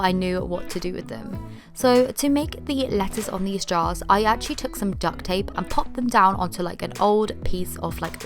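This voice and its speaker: A young woman vlogging a DIY tutorial in a casual, upbeat way, full of "like" fillers, while she is losing her voice. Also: mid-range voice. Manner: chatty, upbeat, lots of "like" fillers.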